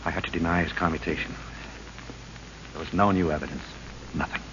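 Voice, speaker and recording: An American man acting a principled, troubled governor in an old radio drama, formal and measured in his delivery. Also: deep voice, fairly even intonation, natural voice. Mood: defensive, troubled. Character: principled, troubled state governor, rigid about duty. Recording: muffled, noisy vintage radio recording.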